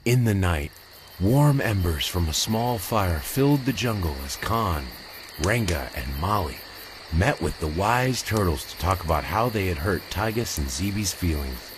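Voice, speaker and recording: A man's voice: sits low, expressive, unhurried, background noise. A man reading a children's story as a scripted storybook narrator.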